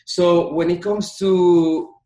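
A man's voice: high-pitched, fairly even intonation, slow pace, dark tone. A young man. He talks in a motivational, hands-on way, stacking repeated words for emphasis. The room gives his voice a noticeable echo. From Dominican Republic. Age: 20s. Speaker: entrepreneur guest. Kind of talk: casual podcast interview. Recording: roomy echo.

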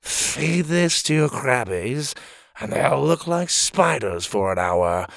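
A man voicing an eccentric, ancient male wizard in a storybook reading, fussy and bossy, with a creaky, drawn-out delivery. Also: medium pitch, sing-song, no room sound, studio quality, voice pushed up, exaggerated voice. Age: older. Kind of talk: storybook character voice.

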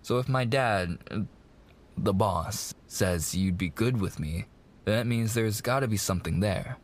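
Man acting a low-voiced young mobster fiancé, sweet and coaxing. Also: measured pace. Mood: earnest. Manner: coaxing, hesitant, slangy gangster talk. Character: young mafia boss's son, eager, smooth-talking, sweet fiancé. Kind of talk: boyfriend ASMR roleplay, intimate monologue.

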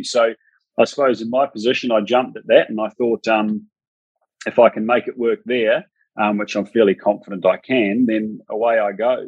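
A man in his thirties with a New Zealand accent, a guest on a podcast, talking in a relaxed, chatty way. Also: on the low side, slightly rough, dry recording, low-quality recording. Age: 30s. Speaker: racehorse trainer guest. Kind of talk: podcast interview.

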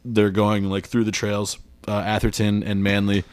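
A man's voice: lowish voice, completely flat delivery, normal speed, no room sound. A man in a casual podcast movie chat, rambling with many fillers and mocking the characters. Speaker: podcast co-host.

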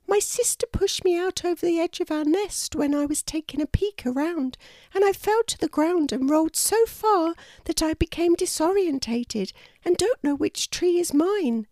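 A woman's voice: very high voice, animated, moderate pace, darkish tone, no room sound, voice pushed up. A woman voicing a tiny, scared baby female kookaburra in a storybook, with a trembling, timid manner.

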